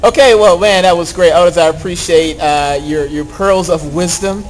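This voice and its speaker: A man's voice: high-pitched, moderate pace, faint music behind, poor audio. A man hosting a podcast in a casual, chatty and playful way, as if introducing a friend. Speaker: podcast host. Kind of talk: casual chat introducing a guest.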